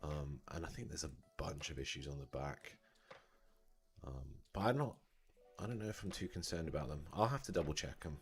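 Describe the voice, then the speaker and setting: A man with a slightly croaky, scratchy throat, casually thinking aloud as he streams a tutorial, with fillers and restarts. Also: a bass voice, brisk. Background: soft background music.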